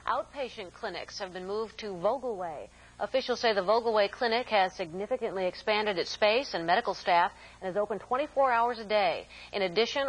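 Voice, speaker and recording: A woman's voice: mid-range voice, husky, poor audio. American woman reading broadcast news. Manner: formal, measured announcer delivery. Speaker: military network news anchor.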